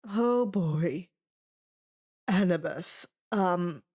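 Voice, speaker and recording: A woman playing a gentle, faltering camp helper in an audiobook, hesitant and earnest. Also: on the low side, expressive, extremely slow, slightly rough, pitched below the performer's own voice, exaggerated voice. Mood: helpful, uneasy.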